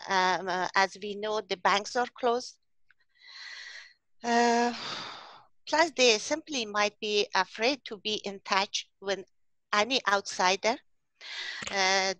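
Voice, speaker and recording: A woman's voice: mid-range voice, fairly bright tone, no echo, poor audio. A middle-aged woman from Afghanistan, a writer and poet, speaking in a video-call interview through a headset mic with many hesitant fillers.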